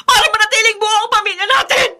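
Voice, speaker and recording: A woman's voice: very high-pitched, steady tone, quick pace, a crowd in the background. An actress playing a furious, betrayed wife and short-tempered mother in a Filipino radio drama, shrieking accusations. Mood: enraged.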